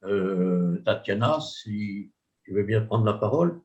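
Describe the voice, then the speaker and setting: A man's voice: fairly low pitch, animated, slow pace, darkish tone. Man moderating, dull lo-fi audio. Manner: informal, familiar, hesitant. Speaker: webinar moderator. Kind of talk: moderating a panel. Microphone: video call.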